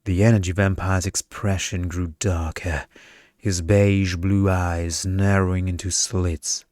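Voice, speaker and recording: A man's voice: low-pitched, level tone, slow, a little mellow, dry recording, studio quality. A man reading a story as scripted ASMR narration.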